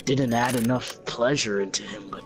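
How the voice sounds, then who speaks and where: A man improvising a comic story among friends in a mock-serious, dramatic, drawn-out way. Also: mid-range voice, steady tone, a crowd in the background. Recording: loud music behind. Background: loud music.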